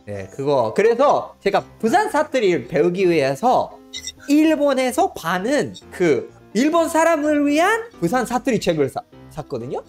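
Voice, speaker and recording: A man in his thirties from Mexico, a language coach, chatting excitedly on a video call and laughing often. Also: high-pitched, unhurried, soft background music. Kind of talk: casual video chat. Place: home studio room indoors. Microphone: desk mic on arm.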